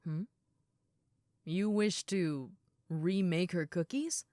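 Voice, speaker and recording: A woman playing a big, friendly ogre roommate in an audio roleplay, chatting casually and gently to the listener. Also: on the low side, takes it slowly, dry recording, studio quality. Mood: flattered, hesitant. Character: huge muscular red female ogre roommate, friendly and easygoing.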